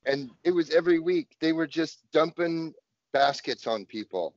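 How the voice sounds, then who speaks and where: A man's voice: on the high side, moderate pace, dry recording. A man co-hosting a podcast, speaking with enthusiasm and easy laughter over a video call. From US West Coast. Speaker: podcast co-host. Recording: dry, dull lo-fi call audio.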